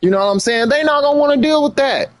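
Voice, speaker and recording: A man's voice: very high-pitched, fast talker, no echo, clean studio sound. An American man chatting casually on a livestream in slangy speech, repeating phrases for emphasis. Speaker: live streamer. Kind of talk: casual livestream commentary chat.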